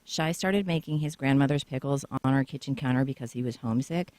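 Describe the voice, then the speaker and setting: A woman's voice: rumbling low, a little fast, a little mellow, dry recording. A woman sits in a radio studio explaining her business with long, flowing answers. Her voice is captured close and bone-dry, with no room sound at all. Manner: talkative, lengthy storytelling answers. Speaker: co-founder guest.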